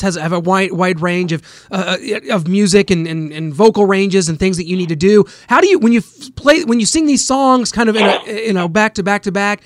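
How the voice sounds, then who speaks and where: High-voiced radio host, eager and rambling. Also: male voice, dry recording, clean studio sound. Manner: eager, rambling questions with restarts. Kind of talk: radio interview and ad read.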